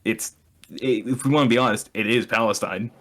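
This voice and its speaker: Lower-voiced man, sardonic and opinionated. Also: brisk, fairly bright tone. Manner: sardonic, profane, explanatory. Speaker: podcast co-host. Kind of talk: casual opinionated chat.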